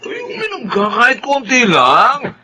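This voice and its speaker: A man's voice: very high voice, wildly swooping pitch, unhurried, a crowd in the background, exaggerated voice. An actor playing a boisterous, pushy male drinking buddy in a radio drama, rowdy and taunting.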